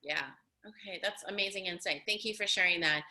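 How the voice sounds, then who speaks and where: Bright-voiced chatty woman host. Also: mid-range voice, a little fast. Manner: effusive, with many "you know" and "like" fillers. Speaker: host and coach. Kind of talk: conversational interview hosting.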